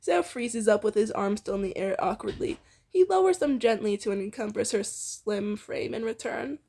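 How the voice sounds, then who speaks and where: A woman's voice: medium pitch, expressive, moderate pace, dark tone. A woman reading fan fiction aloud in a casual way, often breaking into giggles and stumbling over the text.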